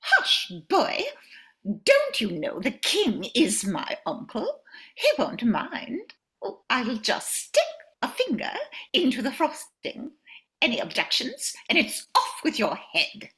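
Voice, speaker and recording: A woman's voice: a little high, huge pitch swings, takes it slowly, fairly bright tone, heavily acted. A woman playing a haughty, self-important young noblewoman in a theatrical, imperious manner for a radio comedy. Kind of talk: old-time radio comedy acting.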